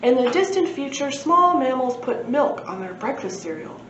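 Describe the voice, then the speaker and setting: A woman's voice: high voice, big pitch swings, moderate pace, voice pushed up. Echoey, pompous dinosaur professor, voiced by a woman. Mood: proud, earnest. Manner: formal, wordy, matter-of-fact. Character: pompous, earnest male dinosaur professor and inventor. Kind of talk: storybook read-aloud. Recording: echoey room, muffled, faint noise.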